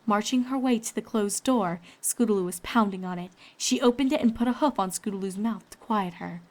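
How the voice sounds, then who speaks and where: A woman's voice: mid-range voice, brisk, darkish tone. A woman reading scripted story narration as the narrator of a fan fiction.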